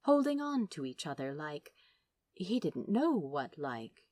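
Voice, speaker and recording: A woman reading fan fiction aloud as an audiobook narrator, with expressive, dramatic storytelling. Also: low voice, slow.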